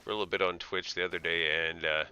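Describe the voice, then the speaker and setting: A man's voice: sits low, little pitch movement, fast, sharp tone, faint music behind. A man giving casual live gameplay commentary, thinking aloud, muttering to himself and tossing playful asides to the characters. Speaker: streamer playing a game.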